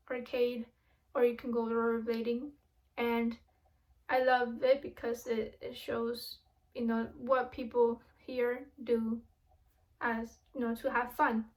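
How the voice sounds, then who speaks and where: A young woman who grew up in Miami, giving an earnest, casual video presentation with frequent restarts and fillers. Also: a little high. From grew up in Miami, born in Ecuador.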